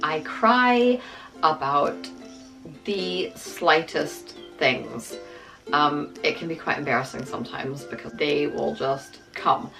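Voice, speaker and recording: Middle-aged woman, American accent with some Scottish, slow unhurried talk, soft ukulele music behind. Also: sits low, creaky, low-quality recording. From United States. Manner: chatty, self-deprecating, many ums. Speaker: vlogger. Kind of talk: casual talk to camera.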